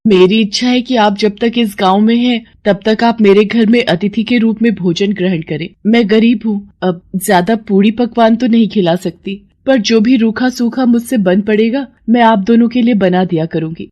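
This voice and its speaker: A woman's voice: fairly high pitch, moderate pace, few pauses, dark tone, lightly acted. A woman voicing a humble, devout young village wife in a moral-story cartoon, speaking earnestly and respectfully.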